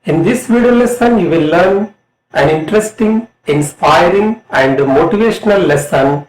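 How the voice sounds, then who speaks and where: High male voice, Indian accent, very lively intonation, middle-aged teacher, scripted lesson delivery. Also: moderate pace. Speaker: college English lecturer. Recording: roomy, echoing voice.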